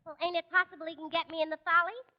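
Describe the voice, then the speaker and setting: A woman's voice: extremely high pitch, little pitch movement, rapid-fire. A woman playing a vain, self-assured, brassy New young woman in 1940s radio comedy. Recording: dull 1940s radio, hall reverb.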